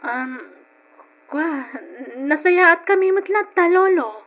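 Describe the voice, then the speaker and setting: A woman's voice: very high-pitched, takes it slowly. An actress playing an anxious, pleading young woman in an old-style radio drama, with an Ilocano accent and emotional delivery. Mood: hesitant, evasive. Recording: dull lo-fi old radio sound.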